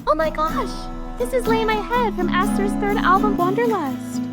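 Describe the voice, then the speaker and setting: An actress playing an excitable, earnest twelve-year-old girl superfan and amateur sleuth in an audio drama, eager and breathless. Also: very high voice, normal speed, over loud music. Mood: excited, urgent.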